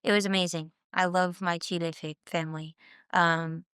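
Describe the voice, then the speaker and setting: A young woman from Southern California talks as a podcast guest, earnest and reflective, often pausing and restarting her thoughts. Her voice sits a little low and comes across smooth and resonant. The sound is dry and close to the mic. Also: level tone, fairly bright tone, no echo. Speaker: guest musician. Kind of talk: casual podcast interview.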